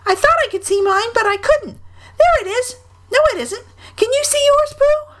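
A woman voicing an eager little male animal child in a children's storybook, squeaky and exclaiming. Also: animated, normal speed, fairly bright tone, a little hiss or hum. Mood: excited, eager. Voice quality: squeaky, very high.

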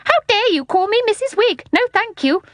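A woman voicing an indignant, prim spinster with a high, thin voice in a storybook reading. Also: lively intonation, moderate pace, a bit bright, no echo, clean studio sound. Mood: outraged. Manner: huffy, clipped indignation.

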